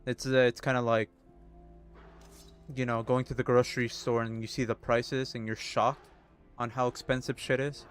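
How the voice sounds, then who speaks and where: A man's voice: mid-range voice, little pitch movement, moderate pace, clean tone. Laid-back man in his thirties streaming. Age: 30s. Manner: laid-back, swears and laughs easily, talks to chat. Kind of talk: casual live-stream chat while gaming. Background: faint music.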